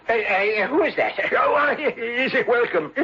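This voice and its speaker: An actor playing a jolly, dishevelled drunken gentleman, rambling and stammering in an old-time radio drama. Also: very high voice, lively intonation, a little slow. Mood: tipsy, chummy. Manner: rambling, stammering, theatrically hearty. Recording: dull, hissy old radio broadcast.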